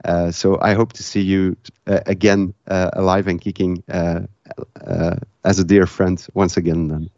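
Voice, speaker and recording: Flemish man, low halting voice. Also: little pitch movement, darkish tone, no room sound, muffled recording. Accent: Flemish Dutch. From Belgium, Flanders. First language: Dutch. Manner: halting, many uh fillers and repeated words. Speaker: event organizer, guest. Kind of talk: casual interview chat.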